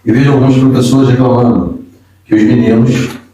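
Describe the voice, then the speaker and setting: A man's voice: medium pitch, little pitch movement, moderate pace, dark tone. Brazilian man hosting, in an echoey room. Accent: Brazilian Portuguese. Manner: chatty, storytelling, informal. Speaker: podcast host. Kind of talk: casual interview chat. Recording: echoey room, distant mic.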